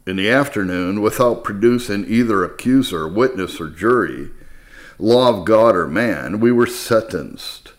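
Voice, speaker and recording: A man reading church history as a scripted narration, in a measured, formal manner with phrases that fall at the end. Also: fairly low pitch, animated.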